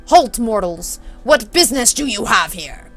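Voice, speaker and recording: A woman's voice: a little high, animated, measured pace, a bit bright. Girl doing a snooty bridge troll guy, pompous olde-timey talk, storybook thing with music. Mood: haughty, imperious. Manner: pompous, archaic, imperious. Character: haughty, sexist male bridge troll guarding riddles. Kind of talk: amateur character reading of a story.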